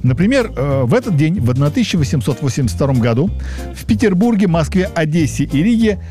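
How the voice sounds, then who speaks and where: A man hosting a radio quiz, reading questions briskly and chatting with ironic asides. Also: on the high side, sing-song, warm tone, soft background music.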